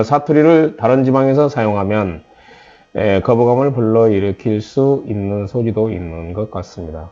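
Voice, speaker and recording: A man's voice: lowish voice, dark tone, highs cut off. A man with a slight Jeolla Korean accent, lecturing trainees in a conversational way, with many "eo" fillers and restarts. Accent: Jeolla Korean, mostly softened.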